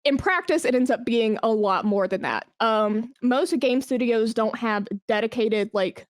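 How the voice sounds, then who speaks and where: A woman's voice: on the high side, measured pace, no echo, clean studio sound. A woman speaking as a podcast guest in a casual interview, telling things candidly and informally. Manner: informal, candid storytelling peppered with "like". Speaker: podcast guest, esports brand manager.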